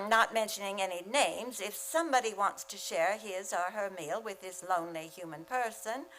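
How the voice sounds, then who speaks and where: A woman's voice: mid-range voice, normal speed, a bit bright, a little hiss or hum, lightly acted, low-quality recording. Woman acting a wry radio-comedy schoolteacher. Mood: dry. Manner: dry, wisecracking delivery. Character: wry, lonely, quick-witted woman schoolteacher. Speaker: actor in a community radio play.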